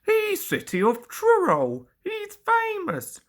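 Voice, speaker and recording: A woman's voice: extremely high pitch, wildly swooping pitch, slow pace, voice pushed up, heavily acted. A woman voicing a shy, earnest, modest male steam engine in a children's storybook. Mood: defiant.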